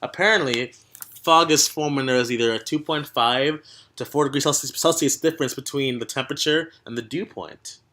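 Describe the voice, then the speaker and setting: A man's voice: medium pitch, fairly quick, bright, forceful tone. A young man hosting a casual podcast, joking, teasing his co-host and rambling between topics. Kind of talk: casual chat.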